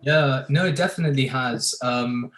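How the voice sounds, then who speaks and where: A man's voice: medium pitch, moderate pace, a bit bright, poor audio. Hesitant, thoughtful young man on a video call. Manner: thoughtful, hesitant with many fillers. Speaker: filmmaker panelist. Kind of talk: reflective panel discussion.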